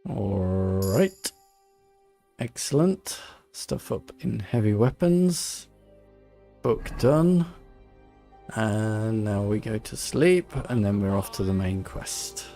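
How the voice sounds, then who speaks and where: A man's voice: fairly low pitch, slow, vocal fry, dark tone, soft background music. A man giving casual playthrough commentary on a video game, thinking aloud and muttering to himself as he plays. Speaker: streamer playing a video game.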